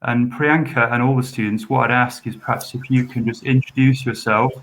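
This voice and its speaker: A man's voice: mid-range voice, flat delivery, a little fast, background noise. A man, a university student support officer, hosting a live Q&A session with frequent ums and restarts. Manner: rambling, hesitant, with many ums and repeated hopes.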